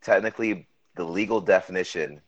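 A man's voice: deep voice, brisk, no room sound. A man originally from Jamaica, raised in the Bronx, co-hosting a podcast in casual chat, hesitant and pedantic. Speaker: podcast co-host. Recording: dull dry lo-fi sound.

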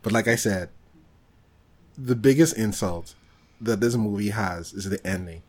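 A man co-hosting a podcast, chatting casually about films in an animated, exclamatory way. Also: on the low side, unhurried. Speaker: podcast co-host.

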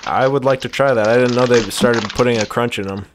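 A man co-hosting a podcast in casual comedic chat, halting and trailing off with a mock-earnest manner. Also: medium pitch, a little hiss or hum. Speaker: podcast co-host.

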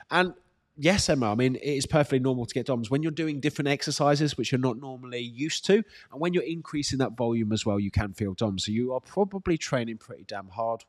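A British man with a slightly congested voice, working as a fitness coach, answering questions casually and giving direct, encouraging advice with emphatic repetition. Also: mid-range voice. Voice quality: slightly congested, bunged-up nose. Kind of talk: casual live Q&A, reading out questions and advising.

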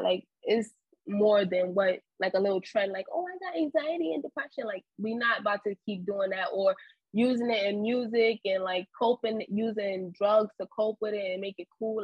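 Young new girl, husky, lots of likes and ums, dry muffled mic. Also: mid-range voice, normal speed, dry recording. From Harlem, New York. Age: 20s. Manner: laid-back, rambling, lots of "like" and "um". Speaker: podcast guest. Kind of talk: casual interview chat.

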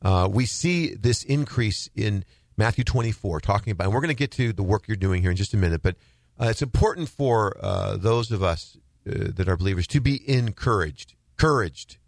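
A lower-pitched man hosting a faith-based radio talk show. He sounds earnest and emphatic and repeats words to drive a point home. The sound is dry and close. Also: lively intonation, a little fast, gravelly, no echo.

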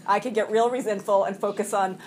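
A woman around forty talks to a support group in a meeting room, a little sniffly from a cold. Her speech is halting and full of fillers and restarts, broken up by frequent laughs. Faint room noise sits under her voice. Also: medium pitch, fairly even intonation, a little mellow. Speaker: recovery meeting speaker. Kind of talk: personal share at a support meeting.

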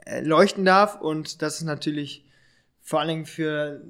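A man hosting a casual podcast chat, explaining things in a halting way and often rephrasing. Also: on the high side, a bit bright. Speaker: podcast host.

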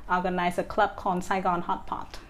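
A woman's voice: on the low side, little pitch movement, fairly quick, a bit bright. A woman in her thirties from Vietnam with a Vietnamese accent, speaking as an interview guest with many fillers and restarts. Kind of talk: casual interview.

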